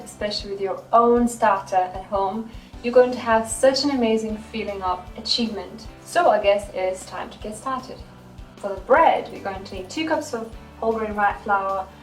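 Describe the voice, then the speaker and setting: A woman, narrating a home recipe tutorial in a conversational way as the host. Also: medium pitch. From Lithuania. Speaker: home baker and video host. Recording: roomy, distant mic, music underneath. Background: music.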